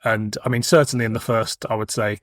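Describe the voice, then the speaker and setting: A man's voice: fairly low pitch, flat delivery, fairly quick, dry recording, clean studio sound. A man in his forties with a British accent, hosting a podcast in a casual, cheeky, frank chat. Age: 40s. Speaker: podcast host.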